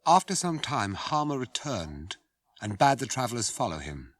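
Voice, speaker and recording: A man's voice: mid-range voice, very animated, moderate pace, dry recording. A British man delivering scripted dramatic narration for a fantasy radio play.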